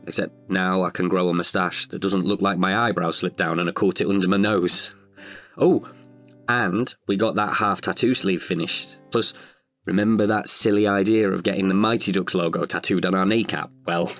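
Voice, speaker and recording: A man in his thirties performing an intimate, chatty monologue as an older, wiser self tenderly addressing his past self. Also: deep voice. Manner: chatty, wry, heartfelt. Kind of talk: intimate confessional audio monologue.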